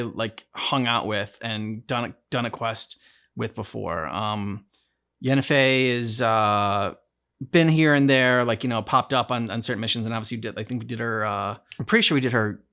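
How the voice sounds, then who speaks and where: A man in a medium-pitched voice chats casually as a reaction host. He rambles and haltingly repeats himself as he thinks aloud. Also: bright, forceful tone. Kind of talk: casual chat, reacting to a game.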